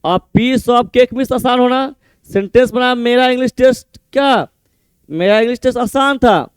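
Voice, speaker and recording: A male teacher, explaining idioms for an exam and repeating each meaning slowly as he writes. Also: extremely high pitch, clear, resonant tone, dry recording. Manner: mixes Hindi explanation with English example sentences. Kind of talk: exam-prep idiom lesson, writing on a board.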